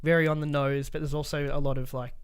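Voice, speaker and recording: A man's voice: a little high, flat delivery, quick pace, clear, resonant tone. A man, a podcast host, chatting casually about films in a blunt way with plenty of swearing.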